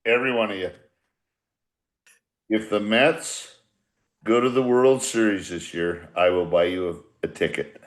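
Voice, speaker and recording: An older man with a fairly low-pitched voice talks casually among old friends. He is blunt and salty, dropping curse words as he spins stories. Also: steady tone, a little slow, long pauses. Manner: blunt, salty, profanity-laced. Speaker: former baseball manager, podcast guest. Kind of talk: casual reunion chat, storytelling.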